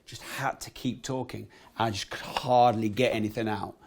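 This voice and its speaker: A man in his thirties with a croaky voice, a podcast guest telling animated stories and swearing casually. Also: lowish voice, moderate pace. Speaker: guest entrepreneur. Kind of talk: podcast interview storytelling.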